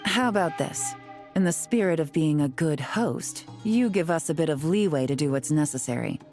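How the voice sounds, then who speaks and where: An actress playing a cool, shrewd female intelligence agent in a video game, calm, knowing and deliberate. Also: sits low, faint music behind. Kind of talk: video game character acting.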